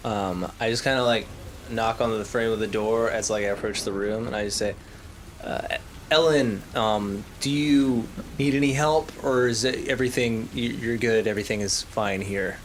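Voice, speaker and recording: A tabletop role-player improvising casually, narrating and speaking in character in a halting, hesitant way. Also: mid-range voice, fairly even intonation, slight background noise. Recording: rain ambience over tabletop game recording.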